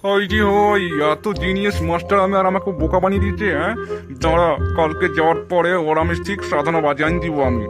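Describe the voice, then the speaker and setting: A man's voice: high-pitched, fairly even intonation, fast talker, lightly acted. A man voicing a blustering, colloquial middle-aged headmaster and father in an amateur comic cartoon. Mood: indignant. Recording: music behind the voice.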